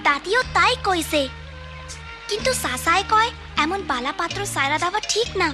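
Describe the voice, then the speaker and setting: A woman's voice: extremely high pitch, animated, moderate pace, music in the background. A woman voicing an earnest, caring and outspoken young village girl in a cartoon. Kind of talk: cartoon voice acting.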